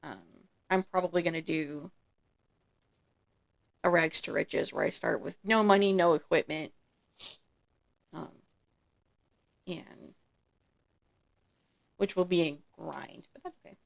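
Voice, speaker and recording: A woman streaming a farming game, chatting casually through her gameplay in a relaxed, rambling way, a little congested from allergies. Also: low-pitched, takes it slowly, vocal fry, pauses often. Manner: relaxed, chatty, trailing off with ums.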